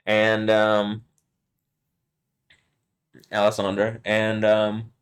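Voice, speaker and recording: A man's voice: completely flat delivery, drawn-out words, clear, resonant tone. A man vlogging alone, rambling and swearing, often chuckling and trailing off mid-sentence. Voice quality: deep voice. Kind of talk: rambling solo monologue to camera.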